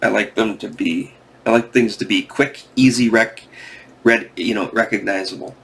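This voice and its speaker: A man from the United States hosting a comic book video, rambling casually, tired and going off on tangents, with some gravel in his voice. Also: fairly low pitch, fairly even intonation, normal speed, a bit bright. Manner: meandering, restarts often, goes off on tangents. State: tired, yawning.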